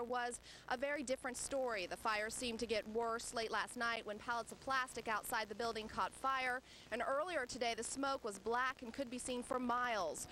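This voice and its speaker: A young adult woman, a field reporter, giving a measured live news report outdoors on a residential street into a handheld mic. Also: a little high, a bit bright, slight background noise, poor audio. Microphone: handheld station mic.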